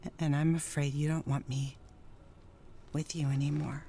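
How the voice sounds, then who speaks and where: An actress playing Jerry, a troubled, wistful, newly sober wife in a 1930s radio drama. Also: female voice, deep voice, flat delivery, moderate pace, dark tone, slight background noise. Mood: wistful, pleading.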